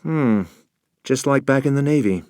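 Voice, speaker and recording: A man plays a suave British secret agent in a video game. His mid-pitched voice stays unflappable, dry and wry. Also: normal speed, darkish tone, smooth, dry recording, close to an everyday voice. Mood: wry, cool.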